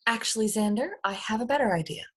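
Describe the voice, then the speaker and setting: A woman voicing a bossy, exasperated alien leader with a husky tone, reading from a drama script. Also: a little high, lively intonation, fast talker. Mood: urgent, bossy. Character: exasperated scheming female alien leader studying captured humans. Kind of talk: script read-through.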